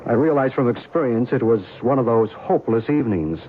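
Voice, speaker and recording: An actor playing a knowing, enigmatic American narrator and believer in an old-time radio drama, measured and assured. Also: male voice, medium pitch, natural voice. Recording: tinny, noisy old radio recording.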